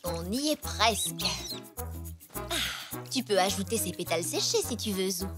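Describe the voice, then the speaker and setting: A woman's voice: medium pitch, animated, normal speed, loud music behind the voice, lightly acted. A woman voicing a cheerful, patient mother zebra in a French children's cartoon, instructive and encouraging.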